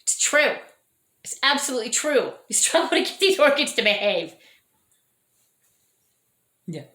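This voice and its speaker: A woman's voice: high voice, very animated, a little slow, sharp tone. A woman showing off her orchids in a casual vlog, chatty and enthusiastic and often correcting herself, with her voice starting to go. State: losing her voice. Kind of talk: casual show-and-tell vlog. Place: dining room at home. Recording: echoey indoor room.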